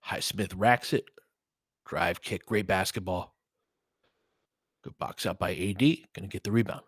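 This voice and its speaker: A man's voice: deep voice, a little slow, slightly rough, no echo, clean studio sound. An American man giving casual live basketball commentary, conversational and analytical, often in clipped phrases. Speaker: host and play-by-play commentator for a fan stream.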